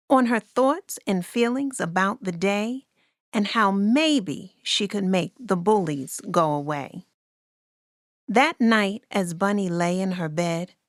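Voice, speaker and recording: A woman reading a rhyming children's story aloud in a deliberate, expressive way as its narrator. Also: medium pitch, no room sound, clean studio sound.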